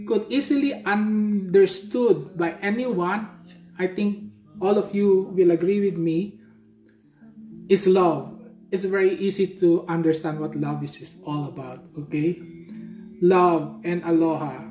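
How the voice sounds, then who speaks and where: A Filipino-accented woman teaching a hula workshop, talking at an unhurried, deliberate pace and pausing to ask "okay?". Her voice sits at a middle pitch and rings in an echoey room, with faint music playing behind her. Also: darkish tone. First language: Tagalog. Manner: slow, explanatory, often checking with "okay?".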